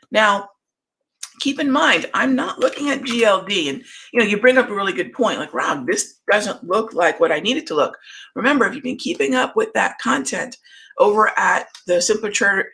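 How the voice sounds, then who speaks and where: A middle-aged woman hosting a live market show, chatting casually and reading out viewer questions in a voice that is going hoarse. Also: medium pitch, a bit bright. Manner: conversational, reads viewer comments aloud, many fillers. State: losing her voice, throat clearing. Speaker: trading show host.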